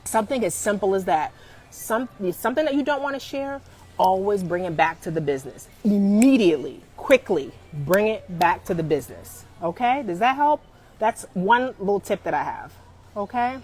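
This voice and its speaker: Animated woman in her forties giving advice. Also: mid-range voice. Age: 40s. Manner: animated and emphatic, addresses viewers as "y'all", laughs often. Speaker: career coach on a live stream. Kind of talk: casual live-stream advice chat.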